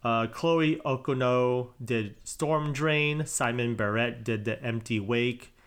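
A man hosting a casual podcast chat, talking fast and laughing easily as he moves the talk along. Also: medium pitch, smooth. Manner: quick, laughing, leads the topics. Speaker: podcast host.

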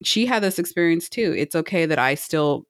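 Earnest, halting woman chatting in a dry space. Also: low voice, expressive, no room sound. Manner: halting, rambling, earnest explaining. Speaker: podcast co-host. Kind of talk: casual chat, reviewing a book.

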